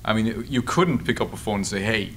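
An Irish man answering interview questions in a halting, informal, anecdotal way at a table microphone. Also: lowish voice. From Ireland. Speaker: journalist and author being interviewed. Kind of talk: conversational interview answers at a public discussion. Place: meeting room at a table. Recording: steady background room noise.

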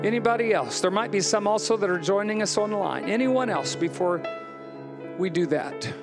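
A middle-aged American man, a pastor preaching emphatically on a church stage into a handheld mic, then leading a soft altar-call prayer. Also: high-pitched, expressive, normal speed, over music. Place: large church stage with band.

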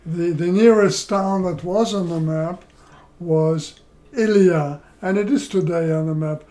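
An older man from Poland, seated at home, telling his life story in a slow, measured way with many pauses, recorded by a camera across the room. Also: high voice, mellow. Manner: slow, measured, pausing between phrases. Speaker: interviewee. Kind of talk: oral history storytelling. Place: living room, indoors.

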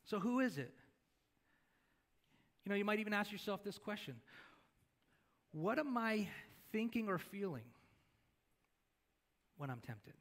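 High-voiced man preaching a sermon. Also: lively intonation, measured pace, pauses often, a bit bright. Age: middle-aged. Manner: conversational, asks the congregation questions; moves around the stage and gestures while preaching. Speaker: pastor. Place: church stage.

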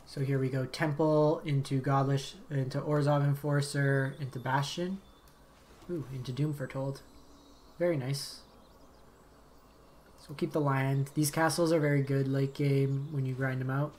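Streamer type: slightly raspy man, low-key play-by-play, mid-pitch, light background hiss. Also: fairly even intonation, normal speed, darkish tone. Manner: thinking aloud, low-key, muttered asides. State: slightly raspy from long talking. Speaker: game streamer. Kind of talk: casual gameplay commentary. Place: home streaming setup.

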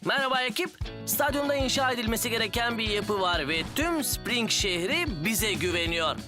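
A man's voice: sits high, expressive. A man playing a cheerful, capable builder who leads and encourages his team in a children's cartoon. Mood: encouraging. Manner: encouraging, briskly organising. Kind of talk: animated children's cartoon dub. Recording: loud music under the voice.

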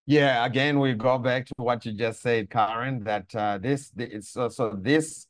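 A middle-aged man from Zimbabwe, a pastor, speaking on a video call in a measured way with many pauses and fillers. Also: mid-range voice, steady tone, dry recording. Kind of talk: conversational faith teaching on a video call. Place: room indoors. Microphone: lapel mic on a video call.

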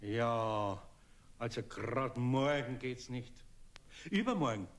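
A man's voice: medium pitch, slow pace. An actor playing a patient, homely older craftsman with a Bavarian accent in a children's radio play. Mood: businesslike.

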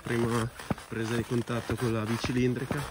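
Upbeat Italian rider vlogging outdoors. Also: male voice, mid-range voice, monotone, normal speed, heavy background noise. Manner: upbeat, talks straight to viewers. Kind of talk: casual vlog commentary. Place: outdoors on a gravel yard and trail.

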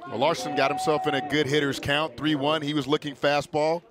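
A man's voice: a little high, flat delivery, a little fast. A man giving plain-spoken, explanatory color commentary on a live baseball game. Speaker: color analyst.

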